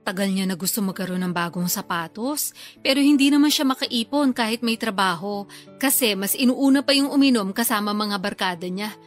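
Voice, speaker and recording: A woman's voice: medium pitch, brisk, faint music behind, natural voice. An actress playing a blunt, self-pitying older sister and struggling mother who complains defensively in a podcast drama. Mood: grumbling. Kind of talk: Filipino podcast radio drama dialogue.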